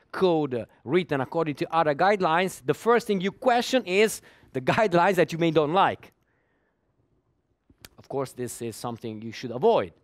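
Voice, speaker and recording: A man's voice: on the high side, dry recording. Man giving a conference talk. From Italy. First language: Italian. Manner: repeats words while searching for them, with small laughs. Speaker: conference speaker.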